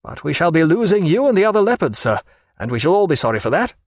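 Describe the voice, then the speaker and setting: A man's voice: fairly high pitch, sing-song, fast talker, no echo, clean studio sound, pitched above the performer's own voice. A man voicing an earnest male naval gunnery officer.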